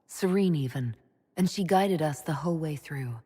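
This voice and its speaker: A woman's voice: deep voice, lively intonation, normal speed, warm tone, breathy edge. An actress playing a resolute woman who leads a holy order, speaking in a composed, authoritative way in a game cutscene. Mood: sober, gentle. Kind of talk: dramatic game cutscene dialogue.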